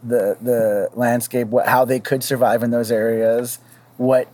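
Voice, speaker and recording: Man, average pitch, podcast panelist, halting, thinking aloud, conversational. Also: level tone, a little mellow. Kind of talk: casual discussion.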